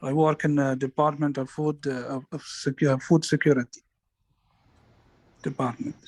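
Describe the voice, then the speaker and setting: A man who works for a government ministry, giving a halting self-introduction to an online group. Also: a little high, level tone, no echo. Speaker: ministry employee, training participant.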